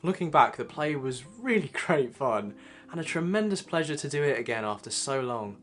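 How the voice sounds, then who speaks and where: A man's voice: mid-range voice, very animated, moderate pace, a bit bright. Man narrating a year in review over guitar. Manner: wistful, looking back. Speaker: vlog narrator. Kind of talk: reflective voice-over. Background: guitar music.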